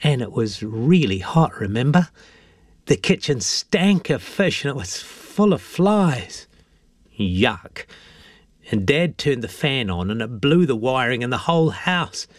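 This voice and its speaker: Man putting on a gossipy woman, lifted pitch. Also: a little slow, dry recording, clean studio sound. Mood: gossipy reminiscing. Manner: gossipy, grumbling, animated. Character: chatty grown woman gossiping about her parents.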